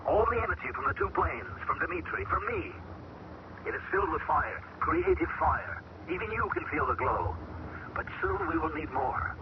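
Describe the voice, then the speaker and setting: An actor playing a man taken over by an alien mind, who talks calmly and measures his words in an old radio drama. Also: fairly high pitch, natural voice. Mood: eerie. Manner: calm, measured, searching for words. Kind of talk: 1970s radio drama acting. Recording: dull old radio broadcast with loud hiss.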